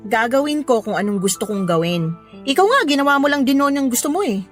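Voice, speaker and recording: An actress playing a bitter, unforgiving young mother in a Filipino radio drama, scornful, sharp-tongued and emphatic. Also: high voice, animated, quick pace, faint music behind. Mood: bitter, defiant.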